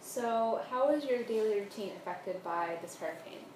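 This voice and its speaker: A woman's voice: mid-range voice, animated, moderate pace, darkish tone. A woman asking prepared interview questions inside someone's home. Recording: roomy echo, clear background noise.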